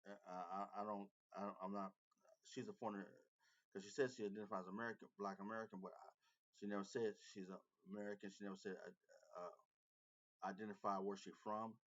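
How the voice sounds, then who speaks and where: A man's voice: lowish voice, flat delivery, brisk, dull audio. A man hosting a reaction video, commenting on a clip with emphatic, repetitive casual talk.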